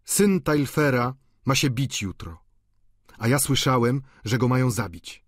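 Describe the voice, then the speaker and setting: A man's voice: medium pitch, animated, unhurried, no room sound, studio quality. A man voicing an earnest young male student in a storybook reading, speaking raised and urgent.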